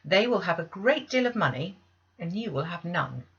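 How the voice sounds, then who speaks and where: A woman's voice: lowish voice, big pitch swings, moderate pace, fairly bright tone, slightly rough, highs cut off, heavily acted. A woman voicing a stern, pious lady's maid in a classic novel, sharply reproachful and moralising. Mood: disapproving.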